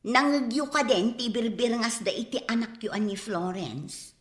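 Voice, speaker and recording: A woman's voice: on the high side, echoey room, lightly acted. A woman with a Filipino accent playing a mocking, gossiping village woman in a comedy radio drama. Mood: gossipy. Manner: gossipy, drawn-out.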